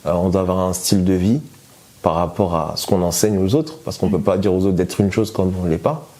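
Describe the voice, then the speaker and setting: Man, very low pitch, dark mellow tone, hesitant, lots of fillers, personal testimony. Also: fairly quick. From France. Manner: hesitant, many fillers and restarts. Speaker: guest giving testimony.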